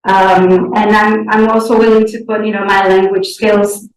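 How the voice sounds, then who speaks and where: Soft-spoken woman, echoey and distant. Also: mid-range voice, flat delivery, slight background noise. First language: Portuguese. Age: young adult. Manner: deliberate, often pausing to search for words. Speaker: interviewee, board candidate. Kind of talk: interview answers. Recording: echoey room, distant mic.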